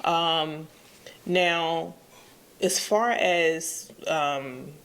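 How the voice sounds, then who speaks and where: Low-voiced woman hosting an interview. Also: fairly bright tone, clean tone. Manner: upbeat, slangy, quick prompts. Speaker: interview host. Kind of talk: casual interview chat.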